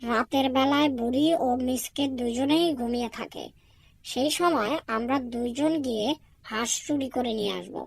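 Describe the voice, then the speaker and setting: A crafty, greedy young fox from a cartoon, voiced by a woman. The voice is high-pitched with plenty of creak, and it wheedles and schemes. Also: normal speed, no echo. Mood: wheedling. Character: sly, greedy, flattering young male jungle fox. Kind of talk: cartoon dubbing.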